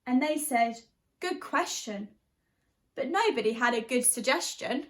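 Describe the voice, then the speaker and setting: A woman with an expressive, chatty and encouraging way of talking, leading and reading aloud for young children as their teacher. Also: high-pitched, a little slow. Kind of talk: animated storybook read-aloud and guided exercise.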